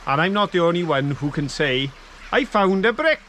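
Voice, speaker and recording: A man's voice: on the high side, animated, normal speed, bright, forceful tone, slight background noise. An older man with a Welsh accent presenting a playful outdoor vlog beside a woodland stream, camera close. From Wales. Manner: playful, showman-like presenting to viewers. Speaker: vlog co-host. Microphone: camera held close.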